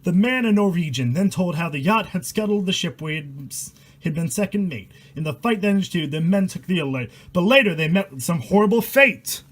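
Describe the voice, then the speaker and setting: A man's voice: sits high, expressive, fairly quick. A man reading a rhyming horror story aloud in a dramatic, irreverent way, stumbling over words and swearing in casual asides. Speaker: amateur storyteller and host.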